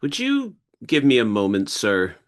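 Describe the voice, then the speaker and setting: A man playing an incredulous police officer of about forty in a table read, deliberate and officious. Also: mid-range voice, wildly swooping pitch.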